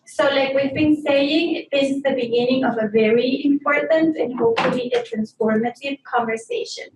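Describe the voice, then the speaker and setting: A woman hosting a workshop, explaining things politely and pausing now and then to find her words. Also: high voice, lively intonation. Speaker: webinar host and presenter. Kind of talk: presenting to a live workshop. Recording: echoey room, distant mic, faint noise.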